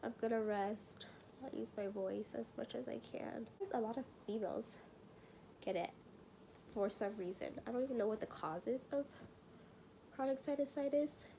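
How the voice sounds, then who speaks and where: A woman's voice: fairly high pitch, moderate pace, creaky, warm tone, a little hiss or hum, poor audio. A young woman chatting casually through a personal vlog update on her recovery, rambling with plenty of fillers and sometimes sounding congested. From California. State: congested and recovering after surgery, sometimes whispering. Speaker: vlogger.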